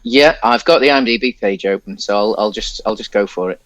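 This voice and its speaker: A man's voice: fairly low pitch, a little fast, a bit bright, no echo, lo-fi sound. A British man co-hosting a podcast, chatting casually about a film in a hesitant, rambling way. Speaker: podcast co-host.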